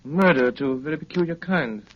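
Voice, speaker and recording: An actor playing an urbane, sharp-witted gentleman detective in 1930s radio style, ironic and wry. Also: on the high side, close to an everyday voice. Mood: probing. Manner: brisk, ironic, elegantly wry. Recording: muffled 1930s radio recording.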